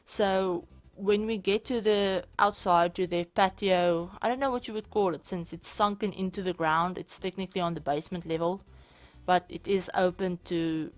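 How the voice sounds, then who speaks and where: A woman with a slightly low, hoarse voice talks through her game build in a loose, chatty way. She wanders and corrects herself as she goes. Faint music plays quietly underneath. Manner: rambling, self-correcting, chatty. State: hoarse from illness. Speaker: channel host. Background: soft background music.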